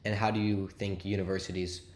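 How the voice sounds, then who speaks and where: A young man co-hosts a podcast and asks relaxed, casual questions. His voice is low, and the recording has a roomy echo. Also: brisk. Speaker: podcast co-host. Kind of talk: interview questions.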